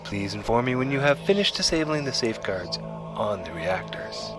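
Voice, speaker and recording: An actor playing a sinister male cult leader who speaks in a measured, hushed, formal way. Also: mid-range voice, very animated, music in the background, lightly acted. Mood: calm menace.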